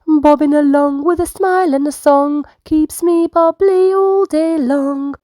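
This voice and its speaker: A woman's voice: sits high, a little slow, mellow, no echo, studio quality, faint music behind, voice pushed up. A woman voicing a cheerful young girl dolphin in a children's storybook, bubbly and sing-song. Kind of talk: children's storybook read-aloud.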